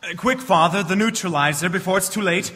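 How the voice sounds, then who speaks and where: An actor playing a tormented, guilt-ridden young man, melodramatic and halting, in 1940s radio drama style. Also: high-pitched, bright, forceful tone. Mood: desperate. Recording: live stage, long hall reverb.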